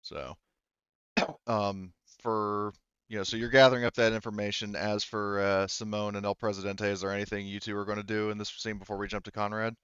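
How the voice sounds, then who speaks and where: A man's voice: fairly low pitch, little pitch movement, brisk, fairly bright tone, clean tone, dry recording, dull audio. A man running a tabletop roleplaying game, explaining things in a rambling, conversational, improvised way. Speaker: game master.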